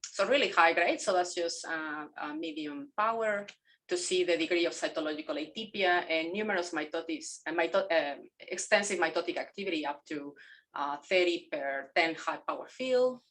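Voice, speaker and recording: A middle-aged woman from Mexico, a native Spanish speaker, presenting a pathology case on a video call, halting with fillers and self-corrections. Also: on the low side, a bit bright.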